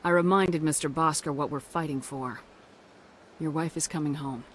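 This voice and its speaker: A woman's voice: low voice, normal speed, a little hiss or hum, lightly acted. An actress voicing a resolute, blunt woman military commander in a video game, speaking firmly. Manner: firm, assertive. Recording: cinematic music behind dialogue.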